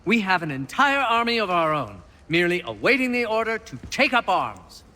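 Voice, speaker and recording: An excitable game patriot of colonial times. His voice is high and animated, formal yet urgent, in a reverberant space with noise behind it. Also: normal speed, full character voice. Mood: relieved, earnest. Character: fervent, excitable colonial patriot rallying allies. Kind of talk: video game character acting.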